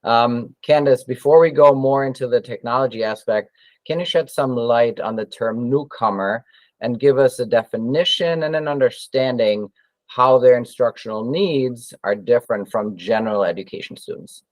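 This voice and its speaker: A man's voice: medium pitch, normal speed, no echo, rough recording. German-native man hosting, dry sound. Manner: friendly, hesitant with fillers. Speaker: webinar host. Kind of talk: webinar interview.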